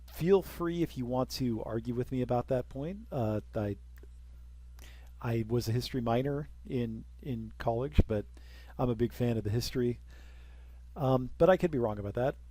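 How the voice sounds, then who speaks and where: A man's voice: mid-range voice, moderate pace, dark tone. A young man casually commenting while playing a strategy game, rambling with self-deprecating jokes and asides. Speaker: let's play commentator.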